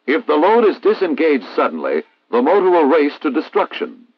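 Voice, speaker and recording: An American man reading a scripted instructional narration in a formal, measured, didactic way, as in a 1960s military training film. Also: fairly high pitch, wildly swooping pitch, no echo. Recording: dull vintage 1960s film soundtrack.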